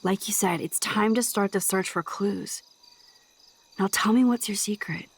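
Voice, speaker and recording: A young American woman playing an earnest, cautious teenage girl in a video game, speaking softly. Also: mid-range voice, measured pace, slight background noise, lightly acted. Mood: earnest, reassuring. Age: young adult.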